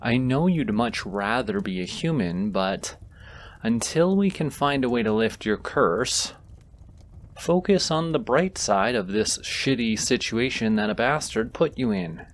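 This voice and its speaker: Slow-talking, tender wizard played by a man. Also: mid-range voice, lively intonation, a little hiss or hum, lightly acted. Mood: gently reassuring. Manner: tender, soft-spoken, hesitant when flustered. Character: gentle, flustered male wizard comforting a companion he secretly adores. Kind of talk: intimate comforting audio roleplay.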